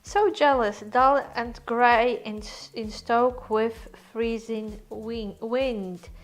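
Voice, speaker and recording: A woman's voice: on the high side, steady tone, extremely slow. Chatty woman greeting viewers. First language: Polish. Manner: chatty, greets viewers by name, laughs often. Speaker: vlogger hosting a live stream. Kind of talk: casual live-stream chat greeting viewers. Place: holiday rental apartment.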